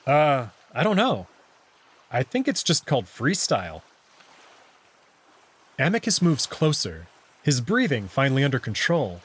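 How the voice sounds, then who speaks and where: A man's voice: medium pitch, wildly swooping pitch, measured pace, long pauses, fairly bright tone, breathy edge. A man reading scripted first-person story narration from a visual novel, with acted dialogue. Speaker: narrator and voice reader.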